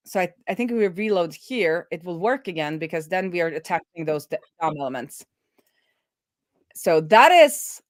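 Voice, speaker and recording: A middle-aged woman host talking informally through live coding, thinking aloud and often halting as she works things out. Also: lowish voice, no echo, studio quality. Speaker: host of a web development live stream. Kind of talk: casual live coding commentary.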